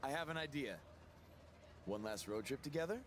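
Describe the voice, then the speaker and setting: An actor playing an easygoing, modest, newly signed indie wrestler in a casual, wry video game cut scene. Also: male voice, medium pitch, expressive, normal speed, fairly bright tone, natural voice. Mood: wry, friendly. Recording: crowd noise behind game dialogue.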